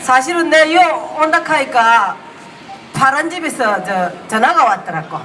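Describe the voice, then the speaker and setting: A performer playing a boastful, brash old grandmother with a Gyeongsang accent, loud and rough-spoken as she teases a rally crowd. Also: sits high, animated, measured pace, exaggerated voice. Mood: brash teasing. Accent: Gyeongsang dialect. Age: older. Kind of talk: comic character act at a rally. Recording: distant PA sound with loud crowd and noise.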